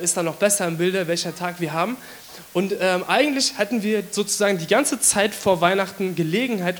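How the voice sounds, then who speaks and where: A man with a hoarse voice from a cold, giving a casual, chatty sermon to his congregation with many fillers. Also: high voice, fairly bright tone, slight background noise. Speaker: preacher.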